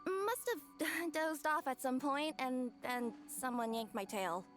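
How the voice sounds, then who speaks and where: A woman's voice: sits high, takes it slowly, fairly bright tone, faint music behind. A woman voicing a cheerful, easygoing cat-spirit courier in a game. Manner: easygoing, friendly. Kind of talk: game voice acting.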